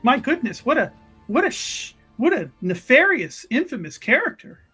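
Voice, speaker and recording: A woman playing an inquisitive, easily astonished official in an improvised tabletop roleplay, speaking haltingly and dramatically. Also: sits high, big pitch swings, sharp tone, husky, soft background music, full character voice. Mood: scandalized. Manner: halting, dramatic, repeats words for emphasis.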